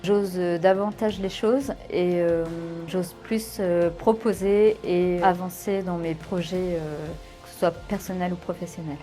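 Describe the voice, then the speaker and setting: A woman giving a spontaneous testimonial as a course participant, pausing hesitantly mid-phrase. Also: on the low side, fairly even intonation, dark tone, soft background music.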